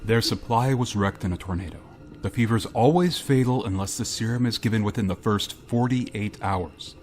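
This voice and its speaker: A man playing a grave, reluctant spaceship pilot in a radio drama, speaking in a solemn, halting way. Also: on the low side, a little mellow, close to an everyday voice. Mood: grave, official. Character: grave, reluctant male spaceship pilot bearing terrible news. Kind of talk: radio drama acting with narration.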